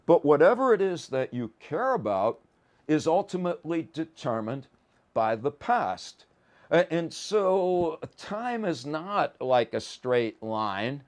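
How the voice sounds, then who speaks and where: A man's voice: fairly high pitch, very animated, takes it slowly, darkish tone. A man lecturing informally on a course, explaining ideas in colloquial language with plain everyday examples and often stammering slightly as he starts a phrase. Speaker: lecturer in a psychology and philosophy course.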